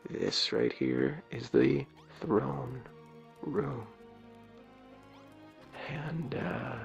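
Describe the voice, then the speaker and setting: A man with a low raspy voice giving rambling, playful ASMR commentary as he plays a video game. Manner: rambling, playful, jokingly self-important. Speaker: game streamer. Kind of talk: ASMR let's play commentary.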